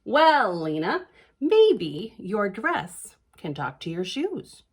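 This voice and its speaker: A woman voicing a helpful, puzzled father in a picture book, gently coaxing with questions. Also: mid-range voice, big pitch swings, slow pace, slightly rough, voice pushed down, exaggerated voice.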